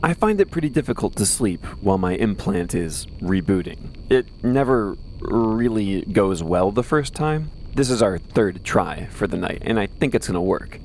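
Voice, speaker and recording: A man's voice: fairly low pitch, lively intonation, normal speed, a little mellow, slight background noise, low-quality recording. A man playing a wry, weary post-apocalyptic radio host who rambles conversationally through a late-night audio drama monologue. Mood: hushed, weary. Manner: conversational, wry, rambling asides and self-corrections.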